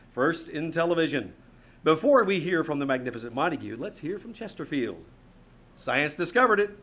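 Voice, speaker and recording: A man's voice: on the high side, a bit bright, breathy edge. A man announcing a scripted old-time radio show in a theatrical, showman-like manner. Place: small theater stage, live performance.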